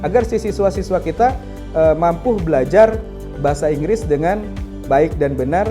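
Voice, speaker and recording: A woman's voice: low-pitched, lively intonation, moderate pace, over music. A woman speaking in a casual, explanatory way as a school representative in a promotional interview. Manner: casual, explanatory, frequent "nah" and "gitu kan".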